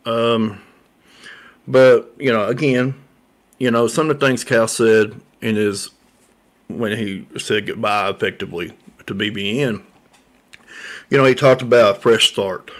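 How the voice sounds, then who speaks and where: A man's voice: fairly low pitch, fairly even intonation, a little slow, pauses often. A man, hosting a local sports talk show, rambling with lots of fillers and repeated words into a studio mic. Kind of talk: casual sports talk monologue. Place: small room set up as a coffee shop, indoors. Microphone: large studio mic on a boom arm.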